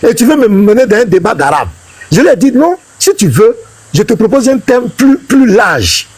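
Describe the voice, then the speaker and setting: A man's voice: very high-pitched, very animated, moderate pace, darkish tone, husky. A man, a pastor, arguing heatedly in a live online religious debate, insisting on being heard and issuing challenges. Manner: insistent, challenging, talks over others.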